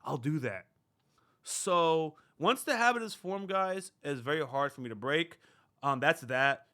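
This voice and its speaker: A man's voice: on the high side, lively intonation, unhurried, sharp tone. A man hosting a reaction channel, talking casually to viewers in a rambling, self-deprecating way with many fillers. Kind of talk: casual talk to camera.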